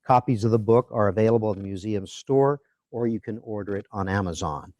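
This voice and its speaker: Host: man, lower voice, steady, dry sound. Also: no echo. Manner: measured, introductory. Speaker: interviewer and host. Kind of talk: live museum interview.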